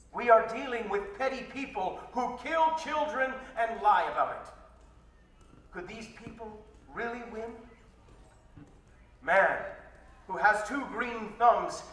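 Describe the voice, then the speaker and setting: A man performs a defiant revolutionary making a public speech in a staged story. The voice is high, earnest and emphatic, with grave rhetorical questions. It rings in a roomy space, slightly distant from the microphone. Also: fairly bright tone.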